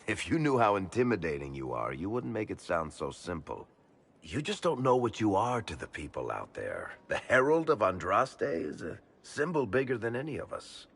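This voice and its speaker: An actor playing a wry, plain-spoken businessman in a video game, talking casually and a little haltingly in a gravelly voice. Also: fairly low pitch, big pitch swings, fairly bright tone, exaggerated voice. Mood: awkward, candid. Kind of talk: video game dialogue acting.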